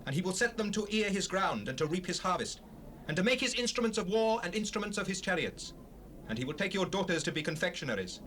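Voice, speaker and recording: Declamatory prophet, swooping high voice. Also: male voice, a bit bright. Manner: declamatory, emphatic. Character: Samuel, solemn old prophet warning his people. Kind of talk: dramatized scripture reading, formal archaic speech. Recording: hissy 1970s cassette rip.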